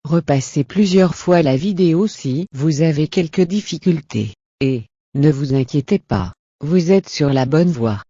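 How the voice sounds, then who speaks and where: A man narrates an instructional lesson from a script. His voice is mellow and a little high for a man, with an encouraging, teacherly manner. The recording is very dry and slightly dull. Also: lively intonation, measured pace, few pauses, husky, no room sound. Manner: encouraging, didactic. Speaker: language lesson presenter.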